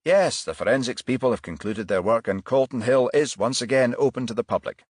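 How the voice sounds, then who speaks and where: A man voicing a businesslike senior police chief inspector with a measured, official manner in an audiobook. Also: medium pitch, slightly rough, no room sound, studio quality, natural voice. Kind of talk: audiobook character voice.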